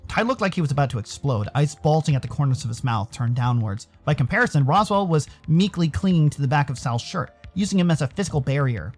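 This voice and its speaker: American male narrator for a visual novel, highly animated and theatrical, soft music underneath. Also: fast, few pauses. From Arizona, United States. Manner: theatrical, expressive. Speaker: narrator and streamer.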